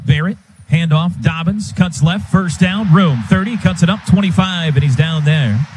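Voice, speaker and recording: A man's voice: fairly high pitch, normal speed, darkish tone. An American man giving live football play-by-play on the radio in short, clipped phrases. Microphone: broadcast booth microphone. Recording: live stadium broadcast, faint ambient noise.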